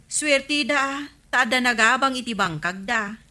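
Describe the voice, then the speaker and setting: Bright-voiced, querulous village woman. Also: on the high side, unhurried. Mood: gossipy. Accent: Ilocano. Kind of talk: Ilocano radio comedy drama acting.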